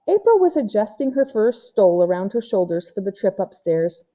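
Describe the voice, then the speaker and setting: A woman's voice: mid-range voice, very animated, normal speed, mellow. A woman narrating a children's novel as a read-aloud storyteller. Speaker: audiobook reader.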